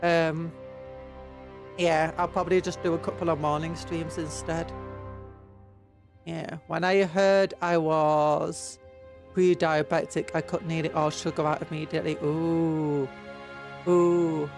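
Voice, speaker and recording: A woman's voice: deep voice, flat delivery, takes it slowly, pauses often, over music. A British woman streamer with a cold, blocked nose and sore throat, rambling in casual chat with her viewers. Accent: British English. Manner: rambling, chatty, reads and answers chat.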